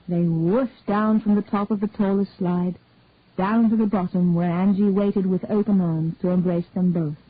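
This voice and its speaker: A woman reading a detective story aloud in a measured, even way. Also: on the low side, dry recording. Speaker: story reader. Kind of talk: scripted narration of a detective story. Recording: dry, tinny phone-like audio.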